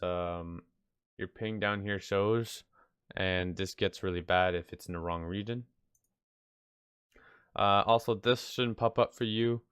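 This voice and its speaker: Deep-voiced, stuffed-up man giving a casual tutorial. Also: a bit bright, smooth, no echo. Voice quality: slightly nasal from congestion. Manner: hesitant, rambling, offhand. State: sick with stuffy nose. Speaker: video tutorial host. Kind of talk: casual unscripted software tutorial. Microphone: close mic, screen-recording voice-over.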